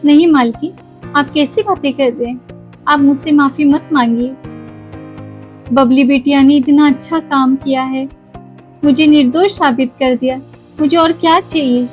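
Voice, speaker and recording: Woman playing wronged cartoon housemaid, very high pitch, humble and pleading, music behind. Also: fairly even intonation, a little slow, long pauses. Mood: humble, grateful. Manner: pleading, respectful. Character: tearful, humble, wronged maid pleading her innocence.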